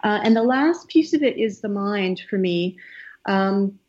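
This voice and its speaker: A middle-aged woman from Canada, a soft-spoken guest who talks with many fillers and pauses. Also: mid-range voice, expressive. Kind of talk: casual interview talk. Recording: slightly dull, lo-fi sound.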